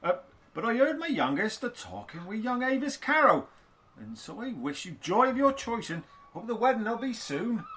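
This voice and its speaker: A man plays an older villager in an audio drama, gossipy and knowing. His voice is high and bright, leaping around in pitch as he chats in rustic dialect with deferential familiarity. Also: sing-song, moderate pace. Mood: deferential, congratulating.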